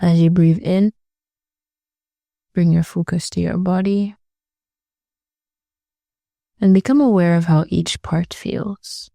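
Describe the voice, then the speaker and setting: A woman leading a guided meditation in a gentle, soothing way, speaking slowly and leaving long pauses between phrases. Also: on the low side, steady tone, vocal fry, no echo, studio quality.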